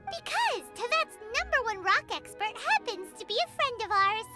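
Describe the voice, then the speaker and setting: A woman voicing a tiny, excitable female fairy companion in a video game, brash and cheeky, talking about herself in the third person. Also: extremely high pitch, normal speed. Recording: game audio under loud music.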